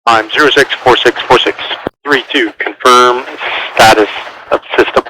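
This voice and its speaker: A man's voice: mid-range voice, fairly even intonation, normal speed. A man working as a military mission controller, giving procedural instructions in clipped phrases over a radio transmission. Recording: noisy military air-band radio. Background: radio static and clicks.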